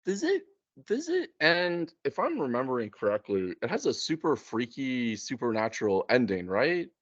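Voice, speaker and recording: A man's voice: mid-range voice, wildly swooping pitch, moderate pace, no echo, highs cut off. A man in casual film chat, musing tentatively and rephrasing as he goes. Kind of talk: casual chat about films.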